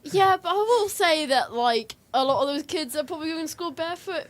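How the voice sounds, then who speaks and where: A woman's voice: sits high, brisk. A woman in casual chat as a show panelist, telling anecdotes in a hesitant way. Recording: clear background noise.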